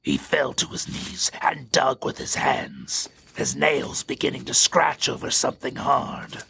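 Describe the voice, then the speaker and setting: Gravelly, highly animated man narrating. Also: a little slow, slight background noise. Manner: suspenseful, theatrical. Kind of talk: scripted dramatic story narration.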